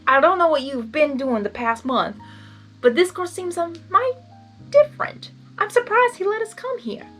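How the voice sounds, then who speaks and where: A woman's voice: extremely high pitch, normal speed, a bit bright, soft background music, pitched above the performer's own voice. A woman voicing a folksy, down-to-earth young female farm pony, friendly and homely.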